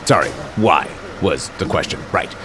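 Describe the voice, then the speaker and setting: A male actor as an apologetic second-in-command in a space audio drama. His low voice is nervous and pedantic, with faint ambience behind it. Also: lively intonation, normal speed, fairly bright tone, slight background noise. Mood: flustered. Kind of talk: sci-fi audio drama acting.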